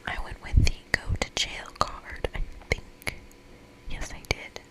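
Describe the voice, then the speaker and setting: A woman's voice: slow, a bit bright, poor audio. Whispering woman narrating a game. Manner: informal asides while reading. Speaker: ASMR creator.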